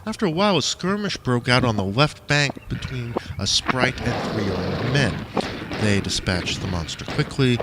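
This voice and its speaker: Man narrating with lively, swinging pitch. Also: normal speed, background noise. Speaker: audiobook narrator reading own fiction. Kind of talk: first-person storytelling narration.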